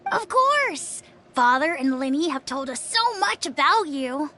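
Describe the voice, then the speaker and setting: Game role: eager little kid, playful and mischievous, very high register, bouncy delivery. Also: female voice, big pitch swings, a little slow, bright, forceful tone, slight background noise, heavily acted. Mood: admiring. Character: eager, playful young child at an orphanage, cheerfully mischievous. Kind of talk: video game voice acting. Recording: game music under the voice. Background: background game music.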